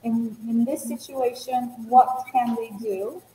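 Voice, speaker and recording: A woman's voice: on the high side, steady tone, slow, warm tone, a little hiss or hum. Woman moderator on an echoey call. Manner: patient, repeating the question. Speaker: webinar moderator. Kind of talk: reading audience questions.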